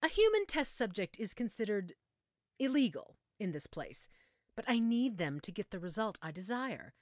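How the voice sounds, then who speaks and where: A woman's voice: mid-range voice, very animated, normal speed, darkish tone, dry recording, studio quality, natural voice. Woman acting a mocking, swooping female mad scientist. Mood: gloating, explaining. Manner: mocking, theatrical, taunting endearments. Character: menacing, gloating female mad scientist obsessed with immortality. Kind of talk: audio roleplay acting addressed to listener.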